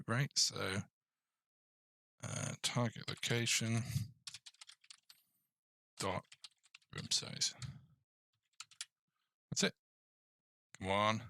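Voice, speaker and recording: Male tutorial host, low bright voice, muttering through code in a casual way, close dry mic. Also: gravelly, dry recording. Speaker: game development tutorial host. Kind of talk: casual coding tutorial, thinking aloud.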